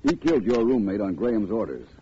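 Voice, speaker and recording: A man's voice: on the low side, animated, fast, mellow. An American man playing a confident, wry private detective in urbane, precise 1940s radio style. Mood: assured. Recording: tinny, faintly noisy old radio recording.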